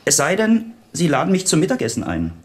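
An actor playing a roguish, clever computer technician in a radio play, talking in a wry, teasing, chatty way. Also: male voice, fairly high pitch, wildly swooping pitch, a little fast, breathy edge, full character voice. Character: roguish, clever male computer-centre technician, playful tinkerer.

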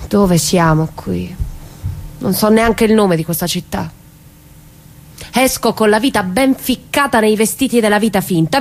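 Un'attrice che fa una madre stanca e amareggiata. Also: female voice, a little high. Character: weary, embittered mother in hiding as mafia witness. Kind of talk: theatrical dramatic monologue.

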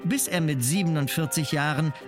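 An actor playing a sober biographical narrator, reading scripted documentary material in a factual manner. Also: male voice, fairly high pitch, normal speed, over music, lightly acted.

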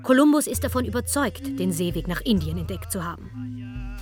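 Woman storyteller reading a radio history piece, measured, dramatic pauses, bit of music behind. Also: mid-range voice, expressive. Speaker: narrator. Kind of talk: scripted dramatic storytelling narration.

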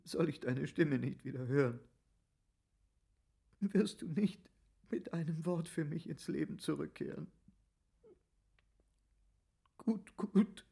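A man's voice: on the high side, takes it slowly, gravelly, pauses often, warm tone, breathy edge, heavily acted. A man playing a grief-stricken nobleman in love, impassioned and theatrical, in a literary radio play. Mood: desperate pleading. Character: grief-stricken romantic nobleman, despairing lover.

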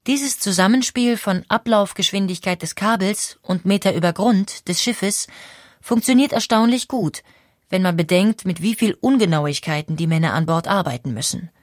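A woman reading a scripted documentary narration in a clear, explanatory way. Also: mid-range voice, normal speed, no room sound, clean studio sound.

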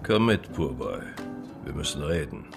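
Radio drama: a wry hardboiled detective, deep and gravelly. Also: male voice, big pitch swings, a little slow, over music, exaggerated voice. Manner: wry, laconic. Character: wry, hard-bitten cop partner. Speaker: police detective. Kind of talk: radio play acting.

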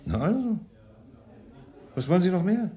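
An actor playing a smug, jovial, cynical schemer, theatrical and goading, in a German radio crime play. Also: male voice, sits high, very animated, normal speed, mellow. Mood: sly.

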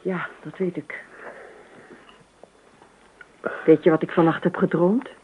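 An actress in a Dutch radio play voicing a dreamy, unruffled wife with an airy, gently teasing, evasive manner. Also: female voice, lowish voice, steady tone, fairly quick, breathy edge. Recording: dull, lo-fi radio play recording.

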